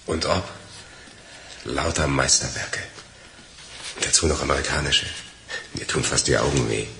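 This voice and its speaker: Very deep, gravelly criminal accomplice. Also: male voice, unhurried, full character voice. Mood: impressed. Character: male accomplice thief, impressed, hesitant, quietly calculating. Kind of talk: German crime radio drama acting. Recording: echoey room sound, noisy background.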